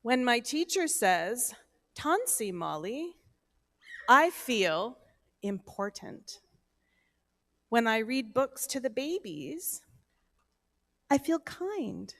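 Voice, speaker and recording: Woman reading a kids picture book aloud in church, slow, bouncy pitch, sort of high. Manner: gentle, expressive storybook delivery. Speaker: children's story reader. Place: church sanctuary, no microphone at first.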